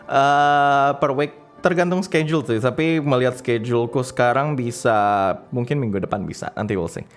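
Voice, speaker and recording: A man hosting a livestream in casual chat, halting and rambling as he searches for words, with as his language. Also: mid-range voice. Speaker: livestream host. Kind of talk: casual livestream chat, reading donations and comments. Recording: music playing behind the voice. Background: background music.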